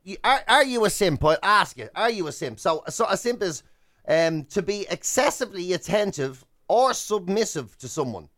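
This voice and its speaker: Irish male radio co-host, high-pitched, self-mocking and joking, dry close-mic sound. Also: big pitch swings, normal speed, fairly bright tone, no room sound. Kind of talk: casual comic radio banter.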